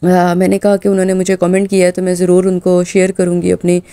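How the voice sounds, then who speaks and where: A woman in her thirties from Pakistan with a hoarse, sore throat, narrating her daily home vlog in a chatty, loose way full of filler phrases. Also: on the low side, completely flat delivery, fast, mellow, no echo, clean studio sound. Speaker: mom vlogger.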